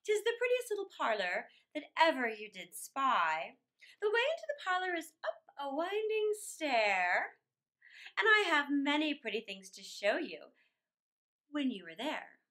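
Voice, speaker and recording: A woman voicing a cunning male storybook spider, flattering and wheedling with drawn-out, coaxing words. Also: sits high, voice pushed up, full character voice. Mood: coaxing, sly.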